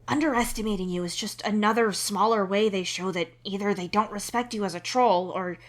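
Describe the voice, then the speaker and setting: Woman voicing an emphatic, raised-pitch male troll. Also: fairly quick, natural voice. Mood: indignant. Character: earnest, indignant male alien troll friend.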